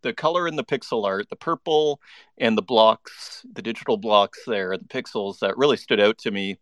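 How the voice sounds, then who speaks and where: A man's voice: fairly low pitch, normal speed, a bit bright, no echo. A man hosting a live online audio interview, chatty and enthusiastic, often restarting his sentences. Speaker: podcast host.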